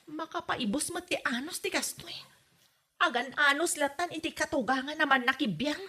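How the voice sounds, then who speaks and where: A woman's voice: high-pitched, normal speed, bright, forceful tone. A woman with an Ilocano accent playing an exasperated, complaining young wife in a comedy drama. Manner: complaining, emphatic. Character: exasperated young wife fed up with meddling mother-in-law.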